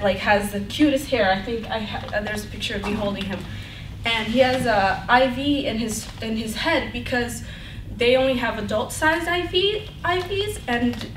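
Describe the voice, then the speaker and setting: A young woman from Bulgaria giving an earnest, animated personal talk as a student speaker in a brick-walled lecture hall. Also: on the high side, far from the mic. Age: young adult. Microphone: far room mic. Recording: echoey hall recorded from afar, noisy.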